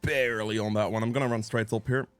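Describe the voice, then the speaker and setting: A man's voice: lowish voice, steady tone, fast talker, soft background music. A man giving casual live commentary on a video game as he plays, thinking aloud and reacting as he goes, sniffly with a cold. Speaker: gameplay guide creator and player.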